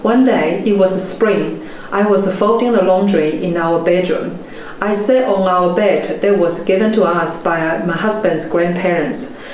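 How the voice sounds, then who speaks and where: A woman in her forties, a native Chinese speaker with a Chinese accent, giving a measured, earnest motivational talk as a property investment mentor. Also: fairly low pitch, darkish tone. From China. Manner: measured, earnest storytelling delivery. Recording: very echoey room, faint background noise.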